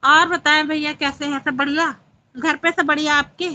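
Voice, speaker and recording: A woman's voice: high voice, flat delivery, moderate pace, pushed and bright. A woman hosting a casual live stream, chatting with viewers and reading out their comments.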